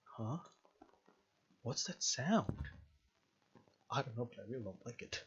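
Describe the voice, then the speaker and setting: Man, medium pitch, let's play host, reading game text aloud, jokey sweary reactions, muffled lo-fi mic. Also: lively intonation, a little slow, fairly bright tone, slight background noise. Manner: sweary, jokey exclamations between read-aloud passages. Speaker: gaming video host.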